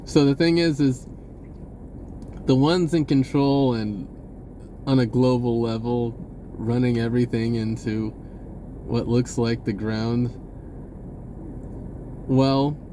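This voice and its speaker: A man giving a rambling, casual spiritual monologue in a halting, informal and blunt way, swearing at times. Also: mid-range voice, fairly even intonation, warm tone, a little hiss or hum. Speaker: vlogger speaking a personal message.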